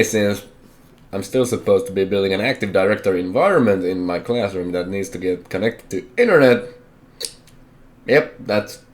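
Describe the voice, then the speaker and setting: Deep-voiced young man, halting and candid. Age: young adult. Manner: halting, hesitant, self-correcting, candid. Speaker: vlogger. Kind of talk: personal life update.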